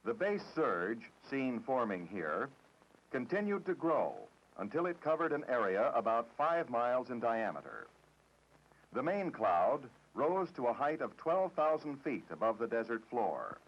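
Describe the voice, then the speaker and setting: An American man reading a formal, measured, matter-of-fact script in the style of a 1960s government documentary narrator. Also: medium pitch, very animated, breathy edge. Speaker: training film narrator. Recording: dull, dated 1960s film soundtrack.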